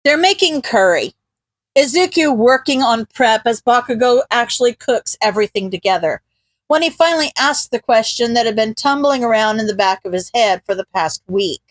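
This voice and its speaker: Woman, high voice, expressive scripted story narration, dry close recording. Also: normal speed, no echo. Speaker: podfic narrator.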